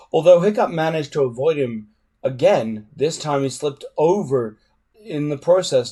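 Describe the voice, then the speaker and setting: A man reading a storybook aloud in an expressive, dramatic way. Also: mid-range voice. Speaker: reader.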